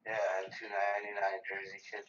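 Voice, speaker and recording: Deep-voiced joking man, far from the mic. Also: completely flat delivery, fairly quick, sharp tone. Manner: joking, teasing, offhand. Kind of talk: casual livestream chat. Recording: roomy, distant, dull lo-fi sound.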